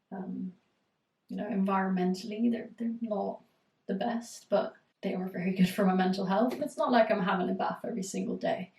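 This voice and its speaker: Roomy, flat-voiced woman coach with a cold. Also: mid-range voice, gravelly, far from the mic. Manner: reflective, steady advice with frequent fillers. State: has a cold, voice going hoarse. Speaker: eating disorder recovery coach. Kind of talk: live group coaching Q&A.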